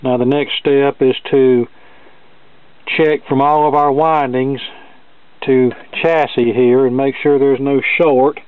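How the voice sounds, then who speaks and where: Even-toned man, narrow phone-like sound. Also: mid-range voice, slow pace, clean tone, dry recording. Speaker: hobbyist radio repair demonstrator. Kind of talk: hands-on repair walkthrough, talking through steps. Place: indoor cluttered electronics workbench.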